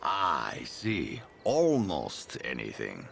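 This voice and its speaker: An actor playing a stern, theatrical middle-aged village patriarch and vampire hunter in a video game, with a commanding manner. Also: male voice, very deep voice, huge pitch swings, extremely slow, gravelly, fairly bright tone, a little hiss or hum, heavily acted. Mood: stern, exasperated. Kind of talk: video game character dialogue.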